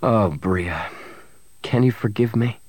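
A man's voice: on the low side, takes it slowly, darkish tone, dry recording. A man voicing a brash young rogue in an audiobook, fierce on the surface and tender underneath. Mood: earnest, tender.

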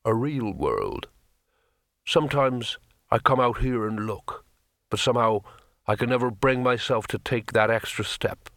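A man voicing a huge sea troll in an audiobook story, speaking slowly and heavily, weary and menacing. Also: lowish voice, creaky, a bit bright, no room sound, heavily acted. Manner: slow, deliberate, heavy delivery.

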